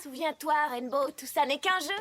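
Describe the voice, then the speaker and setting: A woman voicing a sensible, studious young pony who reasons and gently lectures in a French cartoon dub. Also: high-pitched, normal speed. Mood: reasoning. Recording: noisy background with clicks.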